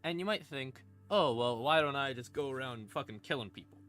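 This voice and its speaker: A man's voice: medium pitch, a little fast, a bit bright. A man explaining lore on a stream in a casual, unscripted, irreverent way, swearing freely. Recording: background music under voice. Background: ambient music.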